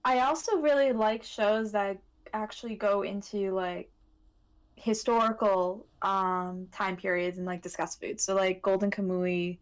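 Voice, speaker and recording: A woman chats casually as a podcast co-host at a middling pitch. She wanders through her thoughts, breaking off and picking them up again, with plenty of "like" along the way. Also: steady tone, a little slow. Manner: rambling, self-interrupting with frequent "like". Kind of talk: casual chat.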